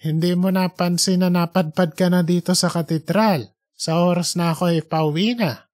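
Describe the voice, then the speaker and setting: Someone acting out a kindly old churchgoer, gentle n slow, fatherly, audiobook story voice. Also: medium pitch, fairly even intonation, no room sound, studio quality, natural voice. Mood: gentle, devout. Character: devout, kindly old pilgrim, a gentle elder.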